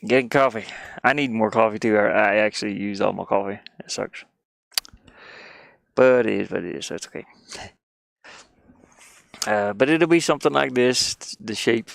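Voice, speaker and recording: A man chatting casually on a livestream, rambling and halting, often putting down his own efforts. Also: on the low side, gravelly, no echo, clean studio sound. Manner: halting, rambling, self-deprecating. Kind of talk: casual livestream chat while sketching.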